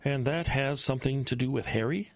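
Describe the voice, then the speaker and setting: An actor playing a brisk, pragmatic senior pastor in a horror audio drama, admonishing and stern with little patience. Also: male voice, medium pitch, no echo, natural voice. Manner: admonishing, impatient.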